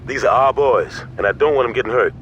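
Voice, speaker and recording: An actor playing a serious male gang leader who gives orders calmly and briskly. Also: medium pitch, dull audio, a little hiss or hum, close to an everyday voice. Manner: calm, brisk, giving orders. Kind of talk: video game cutscene acting.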